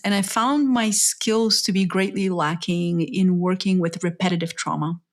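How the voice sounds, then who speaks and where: A woman's voice: fairly low pitch, fairly even intonation, normal speed. A middle-aged woman from Bulgaria, a therapist, telling her story in a reflective, thoughtful way during a conversation. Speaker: author and somatic therapist guest. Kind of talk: casual interview conversation.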